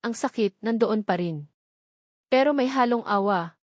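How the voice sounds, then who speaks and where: A woman's voice: a little high, lively intonation, measured pace, no room sound, studio quality. A woman with a Filipino accent reading a scripted story as a dramatic audiobook narrator.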